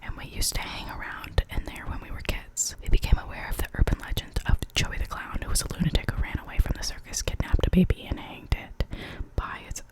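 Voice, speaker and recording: An ASMR storyteller reading spooky local legends in a soft, unvoiced whisper, now and then stumbling and correcting themself. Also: a little fast, poor audio. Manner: soft unvoiced whisper throughout, with small slips and self-corrections.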